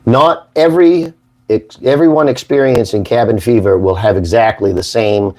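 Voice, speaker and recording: A hoarse man hosts a casual morning show, rambling and joking in a folksy way. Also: mid-range voice, moderate pace, darkish tone. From Maine, United States. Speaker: host.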